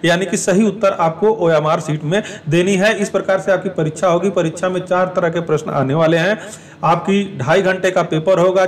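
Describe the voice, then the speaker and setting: Male educational presenter, high pitch, explanatory and repetitive delivery, slightly distant microphone. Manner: repeats and rephrases points to explain. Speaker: exam information presenter. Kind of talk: explanatory talk to exam aspirants.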